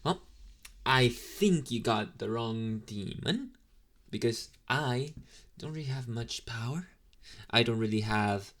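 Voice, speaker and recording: A young, inexperienced demon boy, played by a man in an ASMR roleplay, addresses the listener in an average-pitched male voice. He speaks slowly and haltingly, with casual, mumbled asides. His temper is sleepy and deadpan, with the mild snark of a slacker who has little power. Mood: blasé, grumbling.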